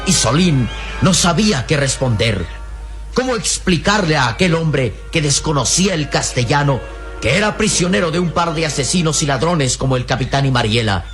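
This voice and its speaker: A man's voice: fairly high pitch, expressive, moderate pace. A man narrating a radio serial in a theatrical, suspenseful way. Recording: old radio serial with music underneath.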